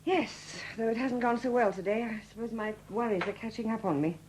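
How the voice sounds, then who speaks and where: An actress playing a wry, bitter widow with a husky, expressive voice in a 1970s radio drama. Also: female voice, on the high side, a little fast, a little hiss or hum, natural voice, rough recording. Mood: wry, sardonic. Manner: sardonic, expressive.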